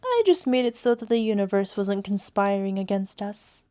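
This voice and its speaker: A woman playing a young, sweetly possessive yandere alchemist girlfriend in an ASMR roleplay, coaxing softly and sometimes slipping toward a whisper. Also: medium pitch, expressive, moderate pace, dry recording, studio quality, close to an everyday voice. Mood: smug, possessive. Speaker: voice actor. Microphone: close microphone, dry.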